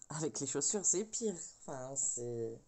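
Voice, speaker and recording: A woman's voice: very deep voice, animated, slow pace, dark tone. Rambling middle-aged woman with a cold. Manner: rambling, hesitant, many filler sounds. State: has a cold. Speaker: craft vlogger. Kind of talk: casual chat while crafting.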